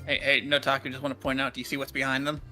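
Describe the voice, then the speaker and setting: A man's voice: medium pitch, monotone, very fast, bright, forceful tone, faint music behind. A teasing man joking casually with friends during a game stream.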